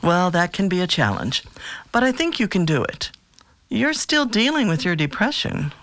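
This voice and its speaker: An actor playing a calm, patient therapist in an audio drama, asking direct questions in a measured, gentle way. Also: medium pitch, no room sound. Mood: patient, encouraging.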